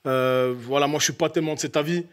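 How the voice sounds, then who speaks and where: A man's voice: fairly high pitch, fairly even intonation, fast. A male host moderating a football fan panel, courteous and slightly hesitant. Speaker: show host.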